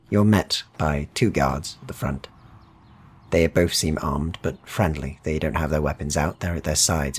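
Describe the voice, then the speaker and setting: A man narrating a tabletop fantasy game as game master, descriptive and measured, with pauses. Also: extremely low pitch, husky.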